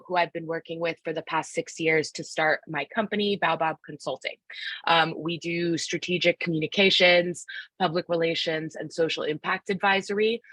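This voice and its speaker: A woman leads an online workshop with a lowish, bright voice. She sounds upbeat and encouraging and keeps drawing her listeners in. The sound is close and dry over a video call. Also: no room sound. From Philadelphia, United States. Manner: upbeat, encouraging, invites the audience in, with many fillers. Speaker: workshop presenter and facilitator. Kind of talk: live online workshop presentation. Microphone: video call microphone.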